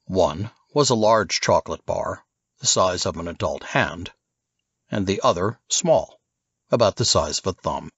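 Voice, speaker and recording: A man's voice: deep voice, lively intonation, a little slow, a bit bright, breathy edge, no echo, highs cut off. A man reading scripted fiction as an audiobook narrator.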